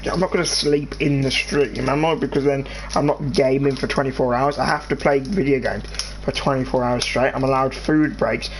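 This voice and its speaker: Brief: English teen lad, mid-pitched, rambly gaming host chat over background noise. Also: male voice, fairly even intonation, poor audio. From England. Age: teenager. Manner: rambling, halting, restarts sentences. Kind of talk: casual chat over gameplay.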